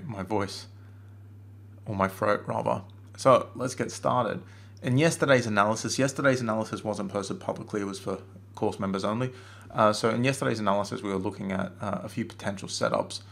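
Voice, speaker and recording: Male trading analyst, low gravelly voice, strained by a cold, loose casual delivery with many ums and restarts. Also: fairly bright tone. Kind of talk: screen-recorded chart analysis webinar.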